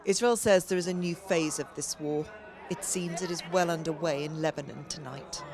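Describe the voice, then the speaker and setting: A woman's voice: low-pitched, normal speed. A woman working as a foreign correspondent, delivering a scripted news report. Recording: noisy street and crowd ambience.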